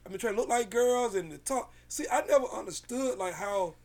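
A man with a raspy voice telling a story in casual, slangy podcast chat, animated and swearing freely. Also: high-pitched, a little fast.

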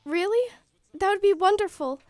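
Woman playing a high-voiced, earnest poor little girl. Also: animated, normal speed, dry recording. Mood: polite, grateful. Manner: polite, earnest, formal. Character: poor, polite, hopeful young girl selling matches. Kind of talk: stage-style radio play acting.

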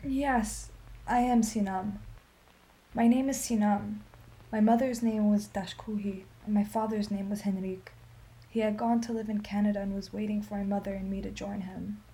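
An actress playing a sorrowful, dignified old Armenian woman in a radio play, telling her story in a solemn, measured, emphatic way. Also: mid-range voice, level tone, dark tone, natural voice, low-quality recording. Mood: grieving testimony.

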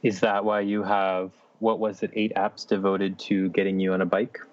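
Low-voiced man, dry slightly dull sound. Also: little pitch movement, moderate pace, dry recording. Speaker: podcast co-host. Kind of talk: casual chat and anecdote.

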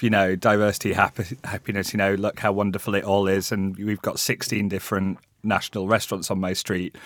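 A man's voice: fairly low pitch, a bit bright, no echo. A young man with a West Yorkshire accent, a panel guest talking in a hesitant, thoughtful, conversational way. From England. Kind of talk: panel discussion.

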